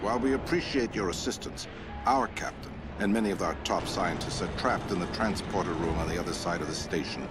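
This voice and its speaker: A man's voice: low voice, fairly quick, over loud music, natural voice. An actor playing a formal alien space station crewman in a sci-fi game, grave in manner. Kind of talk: cinematic sci-fi game acting.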